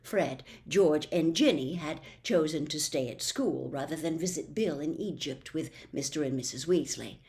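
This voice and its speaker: A woman reading a storybook aloud as narrator, in an expressive storytelling style. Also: low-pitched, normal speed, few pauses. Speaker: audiobook reader.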